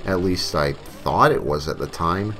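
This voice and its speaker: A man with a low voice narrates a scripted horror story in the first person. He reads as a creepypasta narrator, with music clearly playing behind his voice. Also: measured pace, a bit bright.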